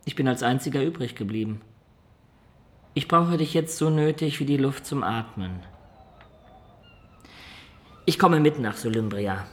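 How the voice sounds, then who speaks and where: A man's voice: medium pitch, measured pace, long pauses, a bit bright, close to an everyday voice. An actor playing a wistful adventurer in a radio drama, recounting his life in a reflective, confiding way. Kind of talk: radio drama acting and storytelling.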